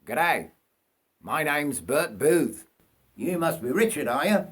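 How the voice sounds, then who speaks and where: Storybook bushman, played by a male reader: old, rough, broad Australian, raised pitch, blunt roaring orders. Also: sing-song, slow pace, fairly bright tone, exaggerated voice. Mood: gruff, bossy. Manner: roaring, blunt, matey commands.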